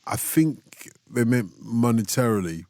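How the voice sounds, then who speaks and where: A man's voice: medium pitch, expressive, a little slow, darkish tone, no room sound, clean studio sound. A man hosting a radio phone-in, chatting casually, teasing his guests and laughing often.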